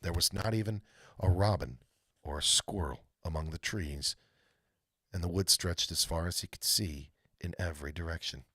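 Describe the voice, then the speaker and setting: A man reading a storybook aloud as a live host, narrating dramatically with deliberate pauses. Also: sits low, sharp tone, slightly rough, no room sound. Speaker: read-aloud storyteller hosting a live stream.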